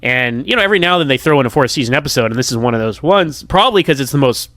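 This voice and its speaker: An American man talks through his opinions in a loose, conversational review. His pitch is mid-range and the sound is dry and close, with little room to it. He is hesitant and often breaks off to restart a thought. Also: expressive, rapid-fire, fairly bright tone, no room sound. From United States. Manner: hesitant, self-interrupting, conversational. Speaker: podcast listener giving episode feedback. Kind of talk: casual rambling review commentary.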